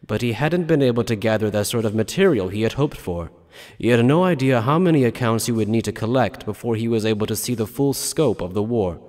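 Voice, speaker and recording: A man's voice: mid-range voice, animated, a little fast, darkish tone. A man reading scripted dramatic audiobook narration. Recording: long reverberant tail on voice.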